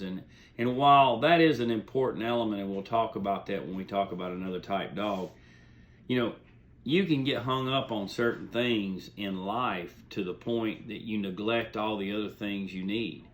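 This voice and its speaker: A man hosting a hobby video series, talking informally to viewers in a folksy, plain-spoken, rambling way. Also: fairly low pitch. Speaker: host of a beagle hunting video series. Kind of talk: informal explanatory monologue to viewers.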